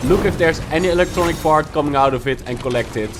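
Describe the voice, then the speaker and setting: A man's voice: a little high, quick pace, noisy background. A man hosting a gaming guide and explaining the steps of a walkthrough in a matter-of-fact way. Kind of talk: game walkthrough narration.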